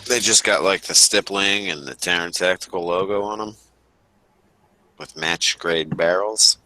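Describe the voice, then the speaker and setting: Deep-voiced man, crisp bright tone, dry deadpan delivery in casual chat. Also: unhurried, poor audio.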